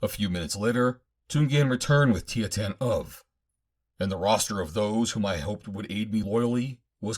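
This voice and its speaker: A man's voice: on the low side, slightly rough. A man narrating a pulp adventure audiobook in the first person, in dramatic fashion.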